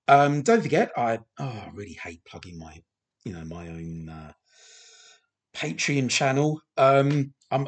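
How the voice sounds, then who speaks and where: A man hosting a podcast, chatting through a TV commentary with a slightly croaky voice, rambling and hesitant with many fillers and restarts, self-deprecating. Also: medium pitch. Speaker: podcast host. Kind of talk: casual film commentary.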